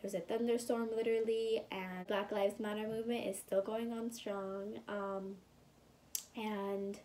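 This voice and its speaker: A young woman talks through a relaxed personal vlog update, her voice sitting a little high. She rambles loosely with lots of "um" and "like," and sounds a bit congested as she recovers. A faint hum of room ambience sits behind her. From California. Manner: rambling, chatty, with fillers like "um" and "like". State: congested and recovering after surgery, sometimes whispering. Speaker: vlogger.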